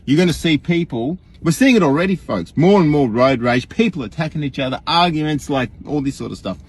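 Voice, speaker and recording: An Australian man imitating an earnest, rambling online guru in a folksy, emphatic livestream monologue, with a husky voice. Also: on the high side, animated, brisk. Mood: earnest, preachy. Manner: folksy, emphatic, rhetorical questions, frequent chuckles.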